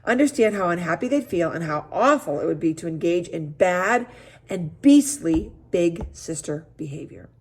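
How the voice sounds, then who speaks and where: A woman's voice: lowish voice, animated, moderate pace, a little hiss or hum. Woman as a proud, explaining camp director.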